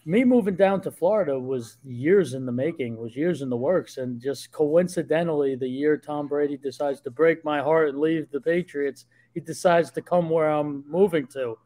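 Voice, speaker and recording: A young man with a New Jersey accent chats as a podcast guest over a home video call. He talks loudly and eagerly, often restarting his sentences as the stories tumble out. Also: on the high side, normal speed, hardly any pauses. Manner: loud, eager storytelling with frequent restarts. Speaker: guest, sports journalist. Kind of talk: casual podcast chat.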